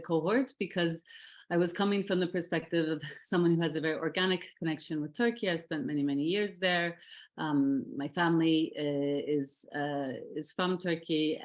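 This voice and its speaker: Middle-aged woman scholar, lowish voice, thoughtful and searching, full of "um" and restarts. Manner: thoughtful, many fillers and restarts. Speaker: researcher. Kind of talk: reflective interview talk about her academic path.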